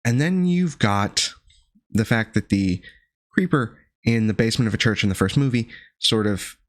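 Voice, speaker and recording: A man with a gravelly voice still recovering from being sick, reviewing a horror film with dry sardonic asides and frequent pauses. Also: fairly low pitch, no echo. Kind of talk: casual video review monologue.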